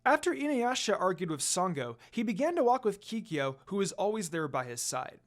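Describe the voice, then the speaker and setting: A narrator reading a fan fiction story aloud in an expressive amateur storytelling style. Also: mid-range voice, a little fast.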